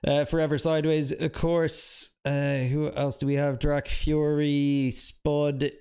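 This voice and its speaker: A man's voice: fairly high pitch, level tone, slow pace, a little mellow, smooth. A young man, feeling unwell, chatting casually and self-deprecatingly as he streams a racing game. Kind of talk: casual live game commentary.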